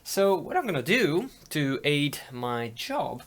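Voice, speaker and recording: Man casually explaining, halting delivery. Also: a little high, big pitch swings. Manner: halting, thinking aloud. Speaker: audio hobbyist demonstrating tape machines. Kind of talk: casual hands-on tutorial.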